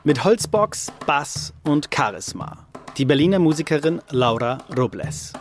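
A male reporter with a fairly high voice narrates a radio portrait from a script. He describes scenes calmly and plainly. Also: unhurried, faint music behind.

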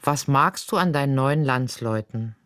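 A woman acts as moderator, putting prepared questions to guests. Her voice has a medium-low pitch and is recorded dry, without room echo. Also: measured pace.

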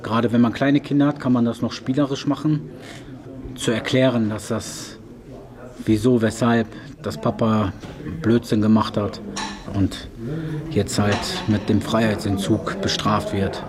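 A man's voice: fairly low pitch, fairly even intonation, unhurried. Man in his thirties, crowd behind. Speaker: prison inmate being interviewed. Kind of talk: spoken interview self-account.

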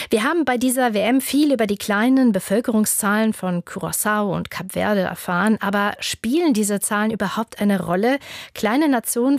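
A woman presenting news and asking interview questions in a clear, composed manner. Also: medium pitch, darkish tone, no echo, studio quality.

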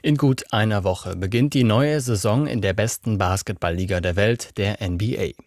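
A man's voice: on the low side, moderate pace, darkish tone, no echo, studio quality. A man presenting a scripted sports news programme on the radio.

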